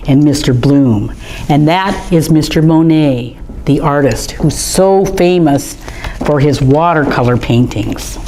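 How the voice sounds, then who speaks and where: A woman's voice: lowish voice, darkish tone. A woman in an art museum gallery, reading a picture book aloud to young children in a chatty, friendly way and talking straight to them. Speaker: museum storytime reader.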